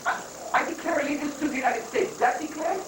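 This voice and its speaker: Man playing a high-pitched, rambling foreign guru. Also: quick pace. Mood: playfully obtuse. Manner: rambling, wordy, mock-pious with foreign phrasing. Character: flamboyant wandering foreign guru, rock-obsessed, evasive and cheerful. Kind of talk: comic dramatic stage reading. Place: echoey room, mic at a distance. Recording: noisy 1980s live recording, echoey, distant mic.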